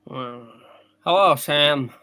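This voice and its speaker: A woman's voice: low voice, pushed and bright, clean tone, exaggerated voice. A woman playing a flustered, stubborn young man who stammers, in a hammed-up amateur radio play reading. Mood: hesitant, defensive. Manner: stammering, halting, blustery.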